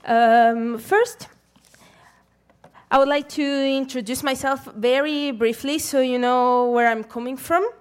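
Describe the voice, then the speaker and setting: A young woman from Chile, giving a prepared conference talk from a stage in a measured, deliberate way. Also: sits high, fairly even intonation, clear, resonant tone. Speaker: conference speaker.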